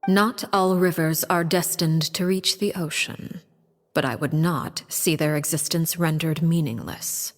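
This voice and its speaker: Low-voiced, coolly menacing noblewoman. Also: in a large hall. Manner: formal, measured, veiled threats. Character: poised, coolly menacing aristocratic female diplomat. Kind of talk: video game voice acting. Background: music.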